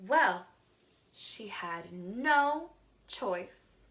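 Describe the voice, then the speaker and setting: A woman reading a picture book aloud to young children in an expressive teacher's manner, drawing words out. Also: medium pitch, extremely slow. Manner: expressive, asks the listener questions, gasps, draws words out.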